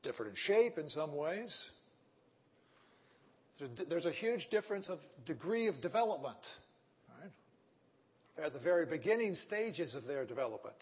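A man's voice: fairly high pitch, big pitch swings, moderate pace, pauses often, darkish tone, husky. A man with a Texan accent giving an ethics lecture, reasoning step by step and checking in with his listeners with frequent "right?" and "okay?". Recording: echoey hall.

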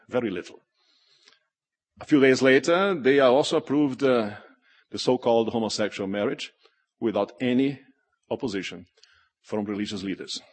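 A middle-aged man whose first language is Portuguese gives a public talk as an animated, joking storyteller. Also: mid-range voice, slightly rough. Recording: long hall reverb.

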